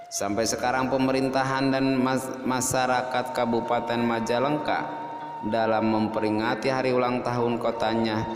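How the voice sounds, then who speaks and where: A man narrating a scripted folk tale as a storyteller, in a measured way with dramatic pauses and drawn-out key words. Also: medium pitch, little pitch movement. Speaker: storyteller and host. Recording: echoey long reverb, music behind. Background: music.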